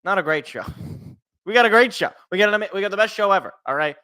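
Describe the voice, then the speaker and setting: Bright, slightly raspy American man. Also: high voice, animated, fast. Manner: rambling, jokey, repeats phrases for emphasis. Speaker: sports show host. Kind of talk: casual solo sports talk. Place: indoors at home.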